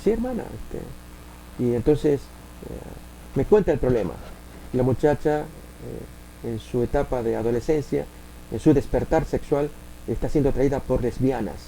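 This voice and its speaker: Gravelly Argentine pastor preaching. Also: male voice, mid-range voice, animated, mellow, a little hiss or hum, poor audio. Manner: many filler pauses, acts out quoted voices. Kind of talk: sermon with spoken anecdotes.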